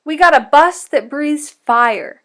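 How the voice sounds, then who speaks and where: A woman's voice: high voice, lively intonation, takes it slowly, a bit bright, full character voice. A woman voicing an upbeat, wise grandmother in a storybook, with an emphatic, encouraging delivery.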